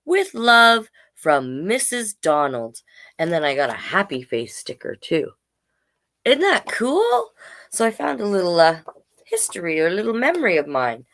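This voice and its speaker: Woman, forties, children's storyteller, casual read-aloud with asides, playful, highly varied intonation, unhurried slow pace. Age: 40s. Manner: playful, expressive.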